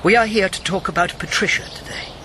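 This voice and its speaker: An actor playing a principled, combative elderly former wartime agent in a radio drama, pointed and sardonic. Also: male voice, sits high, fast talker, sharp tone, a little hiss or hum. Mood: accusing. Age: older. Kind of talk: broadcast radio drama acting.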